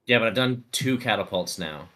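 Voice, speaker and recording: A man's voice: fairly low pitch, animated, moderate pace, pushed and bright, slight background noise. A man playing a tabletop game, casually working out numbers and moves aloud.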